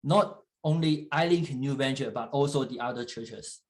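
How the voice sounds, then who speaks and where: A man preaching in English, which is not his first language, pausing often with fillers and restarts while an interpreter translates. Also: mid-range voice. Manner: halting, with frequent fillers and restarts. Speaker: guest church leader. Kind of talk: sermon in English with live interpretation.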